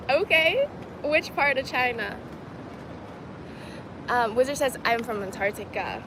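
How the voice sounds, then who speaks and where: A fairly high-voiced woman streams outdoors in a city at dusk. She chats casually with her viewers and reads their comments aloud. Clear traffic and street noise runs behind her. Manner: chatty, laughs easily, talks to viewers as "guys". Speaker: vlogger reading live chat. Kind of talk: casual livestream chat.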